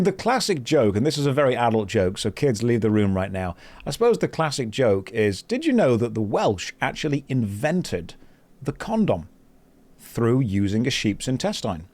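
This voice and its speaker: A man's voice: medium pitch, very animated, normal speed, darkish tone. A man chatting casually with his live-stream audience while playing a game, joking at his own expense and reading messages aloud. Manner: self-mocking, joking, reads chat and quest text aloud.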